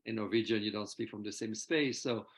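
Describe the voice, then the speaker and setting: A man's voice: on the low side, fairly quick. Hesitant man thinking aloud. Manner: hesitant, repeats words as he works out thoughts. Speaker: co-host. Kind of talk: casual discussion.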